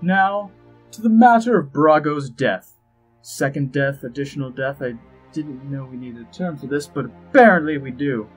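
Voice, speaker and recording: An actor playing an urbane, wry, scheming ambassador in a fantasy audio drama, droll, precise and pompous, with a husky voice. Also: male voice, a little high, animated, moderate pace, low-quality recording. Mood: droll, exasperated. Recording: music behind the voice.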